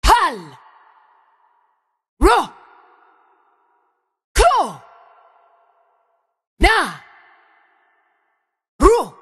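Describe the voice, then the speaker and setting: A woman voicing a fierce warrior in a fantasy video game, crying out in short, forceful, isolated shouts. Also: very high voice, huge pitch swings, extremely slow, pauses often, heavily acted. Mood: fierce, commanding.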